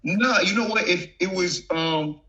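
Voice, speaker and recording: A man in his thirties from Virginia with a softened Southern accent, a former football player turned actor chatting easily as a guest, laughing often and full of fillers. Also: sits high, unhurried, poor audio. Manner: relaxed, laughs often, many fillers and restarts. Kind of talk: casual interview chat. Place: home, remote video call. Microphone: video call.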